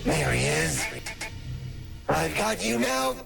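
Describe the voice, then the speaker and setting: A man's voice: on the high side, very animated, slow pace, vocal fry, heavily acted. A man voicing a menacing, taunting skeleton villain in a fan comic dub, drawling with smug false friendliness. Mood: menacing, gloating. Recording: music playing under the voice. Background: music.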